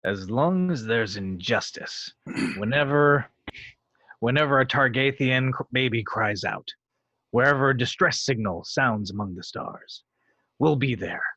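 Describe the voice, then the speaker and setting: Washed-up TV actor, read by a man, irritable, emphatic, bright edgy voice, very dry sound. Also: medium pitch, animated, unhurried, dry recording, highs cut off, heavily acted. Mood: heroic. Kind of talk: amateur screenplay table read.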